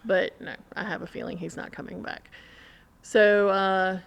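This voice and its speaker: A woman's voice: medium pitch, steady tone, unhurried, vocal fry, smooth. A woman in a casual podcast conversation, telling an anecdote and acting out quoted remarks as she goes.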